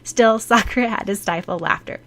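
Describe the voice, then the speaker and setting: A woman's voice: mid-range voice, a little fast, fairly bright tone. A woman reading scripted story narration expressively, as an audiobook narrator.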